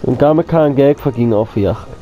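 A man's voice: medium pitch, fast, narrow, tinny audio, faint music behind. A man with a cold, coughing now and then, giving rambling casual commentary on his gameplay and talking straight to his viewers. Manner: rambling, repeats himself, addresses viewers as friends. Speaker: gaming channel host.

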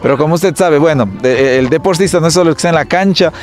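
A man with an Ecuadorian accent giving a spontaneous, halting interview answer as an event official. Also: a little high. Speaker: tournament official being interviewed.

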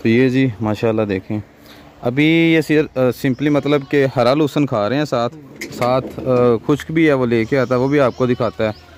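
A man's voice: mid-range voice, a little slow, a little mellow, slight background noise. A young man hosting a farm vlog outdoors, talking in an easygoing, affirming way. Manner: agreeable, affirming, short responses. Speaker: vlog host, goat farmer. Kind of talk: casual vlog. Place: outdoor farmyard.

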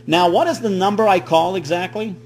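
A man's voice: high voice, a little fast, slightly rough. A middle-aged man making an improvised comic prank call, rambling with feigned naive worry. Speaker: prank caller. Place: small room at home, indoors. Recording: noisy webcam audio with hum.

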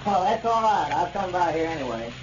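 An actor playing a genial, teasing small-town storekeeper in neighborly old radio style. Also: male voice, sits high, very animated, fairly quick. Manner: chuckling, neighborly. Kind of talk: 1930s-40s radio acting. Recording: muffled, noisy old radio recording.

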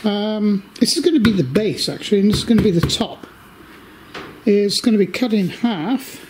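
An older man giving a casual update from his home workshop, talking slowly with lots of ums and restarts while holding the camera. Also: sits high, expressive, background noise. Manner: slow, rambling, many fillers. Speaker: workshop video maker.

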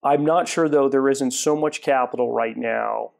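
A man with a gravelly, creaky edge to his mid-pitched voice talks as a studio guest. He starts sentences over, then settles into steady explanation. Recorded on a lapel mic on a TV studio set. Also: moderate pace. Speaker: guest, retail property developer. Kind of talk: studio interview.

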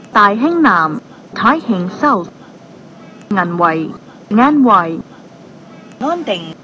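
A woman's voice: mid-range voice, a bit bright, highs cut off, slight background noise. A woman reading scripted station announcements in a formal, clipped way, as a transit announcer. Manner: formal, clipped delivery of isolated phrases.

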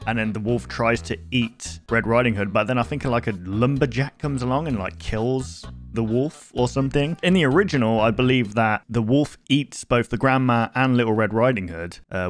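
A man in his twenties talks casually into a close desk mic with music playing behind him. His reaction commentary is conversational and wandering, and he often breaks off and restarts his own sentences. His pitch sits in the middle for a man. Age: 20s. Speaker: reaction video host. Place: small home room, indoors. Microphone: close desk microphone with headphones.

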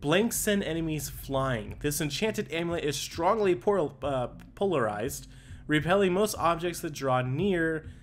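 A young man giving casual, excitable commentary while playing a video game, often exclaiming and stumbling over his words. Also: a little high, a little slow, slight background noise. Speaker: gaming YouTuber. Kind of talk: casual let's play commentary. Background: game music.

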